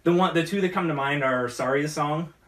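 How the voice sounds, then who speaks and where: A woman's voice: low voice, fairly quick. A woman co-hosting a talk show, chatting in a jokey, giggly way while mixing drinks.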